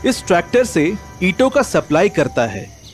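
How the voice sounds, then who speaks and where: A man narrates a storybook tale in a high, animated voice. His pitch moves up and down freely, and music plays clearly behind him. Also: moderate pace.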